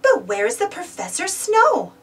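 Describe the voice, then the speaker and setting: A woman narrating a children's read-aloud storybook in an animated, expressive manner. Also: very high-pitched, normal speed, recorded from a distance, faint music behind.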